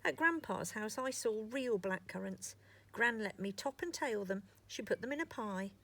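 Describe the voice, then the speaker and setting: Woman voicing a stubborn, expressive young boy. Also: fairly high pitch, moderate pace, heavily acted. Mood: proud, boastful. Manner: insistent, emphatic, sometimes sighing. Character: stubborn young boy proud of his grandpa. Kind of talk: children's storybook character reading.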